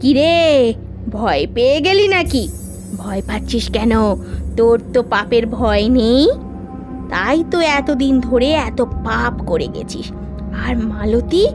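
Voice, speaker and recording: A woman's voice: a little high, animated, measured pace, over music. A woman voicing the stern, vengeful ghost of a betrayed lady of the house in a horror cartoon. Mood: accusing. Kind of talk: Bengali horror cartoon acting.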